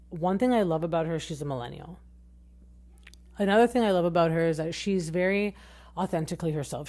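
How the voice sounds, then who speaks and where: A woman in her thirties chats through a podcast recap in a slightly low voice. She is highly expressive, and her pitch swings up and down as she talks. She rambles loosely, with plenty of fillers and restarts. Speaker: podcast host. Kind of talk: casual reality TV recap.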